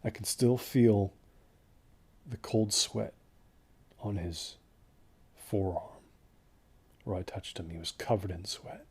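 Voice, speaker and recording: An actor playing a traumatized, exhausted man recounting a doomed desert trek in a halting, hushed horror audio drama narration. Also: lowish voice, husky, exaggerated voice. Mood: dazed, hushed. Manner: halting, hushed, haunted delivery.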